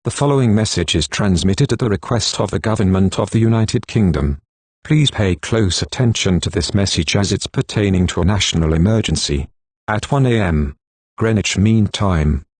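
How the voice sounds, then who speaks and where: A synthetic text-to-speech man's voice reading a scripted emergency broadcast announcement in a formal, measured, impassive manner. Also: fairly low pitch, animated, a little mellow, no echo.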